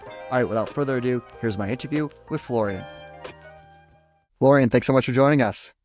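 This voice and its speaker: A man's voice: medium pitch, a little fast, a little mellow, faint music behind. Man hosting a conversational podcast interview.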